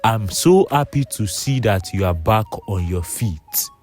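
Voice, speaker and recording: A man voicing a loud, gushing, falsely chummy male friend in a storybook folktale, with an effusive manner. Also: on the low side, lively intonation, measured pace, faint music behind. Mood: jovial.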